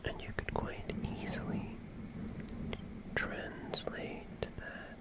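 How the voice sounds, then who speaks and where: An ASMR streamer speaks entirely in a whisper. The delivery is very slow and halting, with phrases drawn out in a musing monologue.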